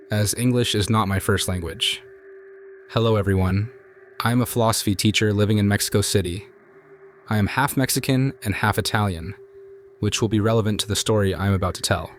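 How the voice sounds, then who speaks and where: Low-pitched guy reading creepy stories slow and hushed, bit of background hiss. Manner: calm, measured, hushed storytelling. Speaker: narrator reading viewer stories. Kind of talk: scripted horror story narration.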